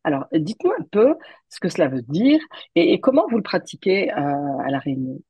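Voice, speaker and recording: A woman hosting an interview show, speaking in a measured, polite way with some fillers and restarts. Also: deep voice, sing-song, breathy edge, no echo, highs cut off. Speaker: show host.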